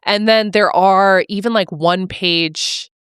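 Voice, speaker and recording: A woman's voice: medium pitch, fairly even intonation, measured pace, fairly bright tone, smooth, dry recording, clean studio sound. A young adult woman guest on a podcast, talking casually and thoughtfully, with many fillers and restarts. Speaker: author guest. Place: mobile podcast studio.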